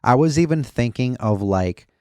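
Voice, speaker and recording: Bassy-voiced man chatting on a podcast. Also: animated, moderate pace, warm tone, smooth, no room sound, studio quality. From West Michigan. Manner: enthusiastic, chatty, repeats words. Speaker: podcast co-host. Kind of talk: casual chat. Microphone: podcast studio mic.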